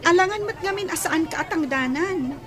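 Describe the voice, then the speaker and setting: Very high-voiced young Filipina. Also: female voice, animated, normal speed. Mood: friendly. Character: friendly young woman customer, casually insistent. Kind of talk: radio drama acting. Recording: busy outdoor crowd noise behind.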